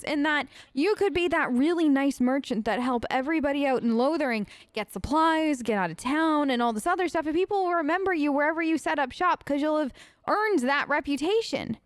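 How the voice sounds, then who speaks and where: A woman's voice: high-pitched, dry recording. A woman hosting a role-play game let's play, talking casually in a sassy, rambling way. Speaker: gaming host, role-playing her character.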